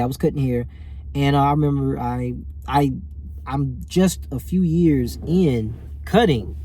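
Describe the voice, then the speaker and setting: Husky man telling stories. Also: mid-range voice, mellow. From rural Alabama, US. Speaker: vlogger telling personal stories. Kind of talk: casual storytelling to camera.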